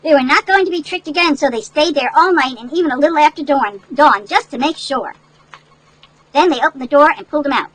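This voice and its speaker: A woman's voice: high voice, brisk, fairly bright tone, rough recording. An older woman, a great-grandmother, reading a picture book aloud to children with chatty, instructive asides and questions to her listeners. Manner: instructive, checks in with listeners through tag questions.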